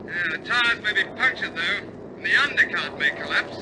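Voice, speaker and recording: A man's voice: sits high, lively intonation, moderate pace. An actor playing a loyal, anxious bomber crewman in a war radio drama, reporting earnestly to his skipper. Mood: concerned. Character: loyal male airman on a crippled bomber, dogged, anxious. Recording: loud aircraft engine drone. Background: aircraft engine noise.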